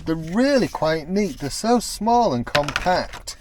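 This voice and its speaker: A man's voice: a little high, very animated, slow, very noisy. A man with a British accent chatting casually to camera as a product reviewer. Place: in and around a van.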